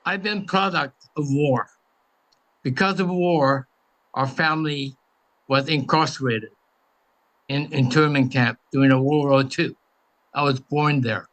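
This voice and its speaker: A man in his eighties from the United States giving a halting memorial speech in short phrases as a guest speaker. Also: on the high side, fairly bright tone, rough recording. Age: 80s.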